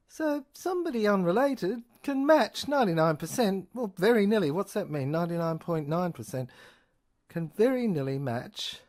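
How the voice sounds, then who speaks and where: A woman playing a rambling, sardonic lab technician who lectures haltingly in an audio drama, repeating and correcting herself and asking rhetorical questions. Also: on the low side, a little mellow, heavily acted. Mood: sardonic, emphatic. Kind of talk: improvised-sounding lecture monologue in an audio drama.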